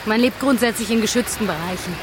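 A woman's voice: medium pitch, fast talker, close to an everyday voice. An actress playing a practical, candid German expatriate woman, chatting colloquially in a radio drama. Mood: candid, reflective. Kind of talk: conversational documentary radio drama. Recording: clear street and traffic noise.

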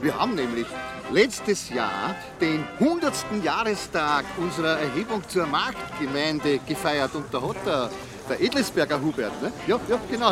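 An actor playing a proud, fussy small-town official with an Austrian accent in a radio drama, halting and chatty. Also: male voice, high-pitched.

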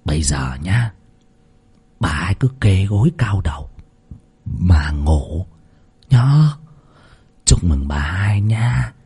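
A conniving young manservant who murmurs in a low, hushed whisper, played by a male storyteller. The voice is low, soft and breathy. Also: expressive, slow pace, pauses often, heavily acted. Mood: sly whisper. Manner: whispering, sly.

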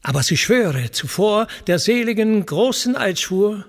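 Guy doing a greek messenger god, protective n commanding, slow declamatory verse, bit gravelly, radio play. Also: high voice, expressive, voice pushed up. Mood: urgent instructing. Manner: declamatory, instructing, deliberate. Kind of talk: solemn epic verse recitation for radio.

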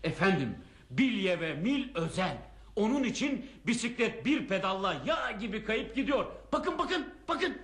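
A man's voice: sits high, big pitch swings, moderate pace, fairly bright tone, full character voice. An actor playing an eager, fawning shop salesman in a radio play, pushy and ingratiating, repeating himself. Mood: eager, cheerful. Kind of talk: radio theatre acting. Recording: roomy, echoing radio play recording.

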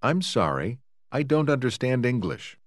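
A man giving model pronunciations in a language course, slow and carefully articulated. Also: mid-range voice, very animated, no echo, studio quality.

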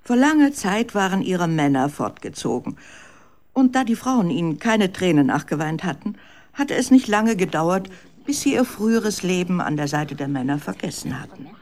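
A narrator telling a children's radio story in a measured, expressive scripted style. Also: mid-range voice.

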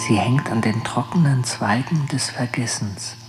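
An actor playing a wistful lover who remembers his beloved in a poetic radio play, intimate and hushed. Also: medium pitch, unhurried, music in the background, natural voice, poor audio. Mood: tender, dreamy.

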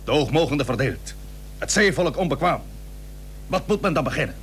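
An actor playing a plain-spoken, reluctant but dutiful seventeenth-century sea captain in a radio play, with blunt, weighty, archaic Dutch diction. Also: male voice, fairly high pitch, expressive, a little fast, fairly bright tone. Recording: old radio recording with hum and hiss.

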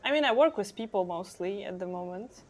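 A woman from Ukraine, an engineer guest, chatting casually on a podcast and pausing often with ums. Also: mid-range voice, animated, darkish tone. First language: Ukrainian or Russian. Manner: thoughtful, many ums and pauses.

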